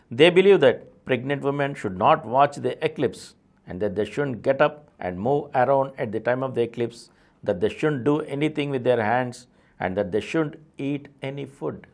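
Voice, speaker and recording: A man with an Indian accent reading a memoir aloud as a presenter of an audio series. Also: mid-range voice. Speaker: audiobook reader, channel presenter.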